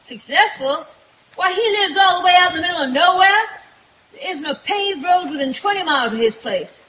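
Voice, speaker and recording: A folksy, incredulous country woman, acted by a woman in live old-time radio comedy. The voice is high and sharp, exclaiming plainly, on a faintly hissy outdoor recording. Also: animated, brisk, full character voice, poor audio. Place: outdoor woodland stage at night.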